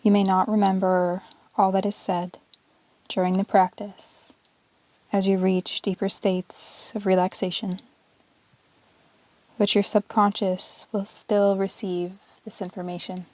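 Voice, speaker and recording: A woman leads a guided yoga nidra meditation at a very unhurried pace. Her voice is soft-edged and mellow, staying on an even, level line. She sounds calm and soothing, leaving long pauses in a close, dry recording. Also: medium pitch, no room sound. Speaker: yoga teacher guiding a meditation.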